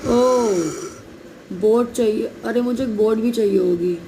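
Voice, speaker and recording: Man, sore throat, casual game commentary straight to viewers, keeps clearing his throat, noisy recording with clicks. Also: extremely high pitch, measured pace. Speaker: gameplay video creator.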